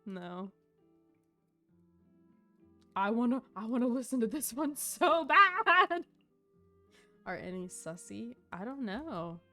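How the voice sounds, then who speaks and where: A woman's voice: a little high, very animated, slow pace, pauses often, sharp tone, soft background music. Gushing, informal woman game streamer. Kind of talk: casual live stream commentary.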